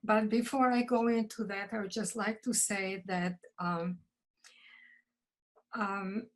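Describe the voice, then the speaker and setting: Slow careful older woman. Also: medium pitch, steady tone, poor audio. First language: Croatian. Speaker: poet guest. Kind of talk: video call conversation. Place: home office.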